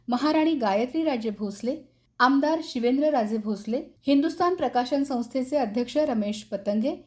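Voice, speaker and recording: A woman's voice: high voice, mellow. A woman reading scripted radio news as the presenter.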